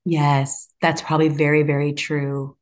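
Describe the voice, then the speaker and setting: Woman guest chatting in an interview, slightly low voice, emphatic and opinionated. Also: little pitch movement, measured pace. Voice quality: loud, strong voice. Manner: opinionated, emphatic, self-assured. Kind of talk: reflective conversational interview.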